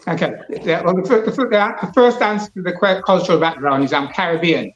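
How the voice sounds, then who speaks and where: A guest man speaks over a remote line with a dull, lo-fi sound in a roomy space. He often stalls and repeats words before he finds his footing, then explains at length. Soft music sits faintly underneath. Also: high voice. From Jamaica. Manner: halting, repeats words as he starts answers. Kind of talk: interview.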